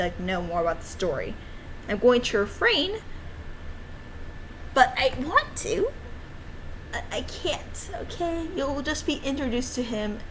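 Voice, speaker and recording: A woman's voice: medium pitch, rough recording. Playful woman chatting casually over background noise. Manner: playful, uses cutesy exclamations. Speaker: streamer and amateur game developer. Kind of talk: casual rambling livestream chat.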